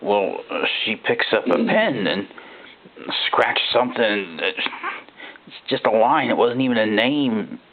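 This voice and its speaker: A man narrating a first-person horror story in a folksy, halting, conversational way, like an ordinary guy confiding. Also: on the low side. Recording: thin phone-like band-limited sound.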